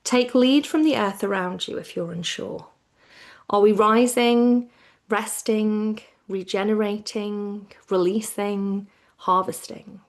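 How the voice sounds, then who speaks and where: A woman leads a guided relaxation as a yoga teacher. Her delivery is very slow and soothing, with plenty of creak in the voice. She speaks in a hushed way, her phrases softening toward a whisper. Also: medium pitch, fairly even intonation.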